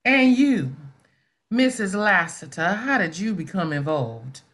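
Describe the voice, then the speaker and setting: A woman's voice: medium pitch, very animated, measured pace, fairly bright tone, a little hiss or hum. A woman plays a middle-aged schoolteacher in a 1970s stage drama. She is proud and mouthy, with a pointed, needling way of talking. It is a reading over a video call. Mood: reminiscing, polite. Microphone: video call microphone.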